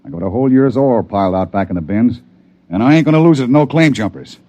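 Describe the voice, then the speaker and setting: Man playing gruff, suspicious frontier miner dad. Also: medium pitch, expressive, fast talker, warm tone, dull audio, close to an everyday voice. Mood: tense, wary. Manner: brusque, commanding. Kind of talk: old-time radio drama acting. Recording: vintage radio drama recording.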